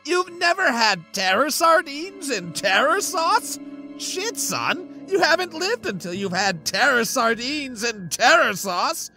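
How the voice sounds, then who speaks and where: A man voicing a manic, sadistic talking sardine can in comedic audio fiction, shrill and strained, mocking and foul-mouthed. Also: sing-song, takes it slowly, bright, forceful tone, faint music behind, exaggerated voice. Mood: indignant, incredulous.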